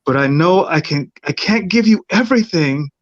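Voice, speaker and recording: A man playing a tender parent who speaks to his baby girl in slow, soothing, half-sung words. The voice sits a little high and is dry and close. The call audio is dull and lo-fi. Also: animated, dry recording, close to an everyday voice. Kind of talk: improvised playback theatre. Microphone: video call.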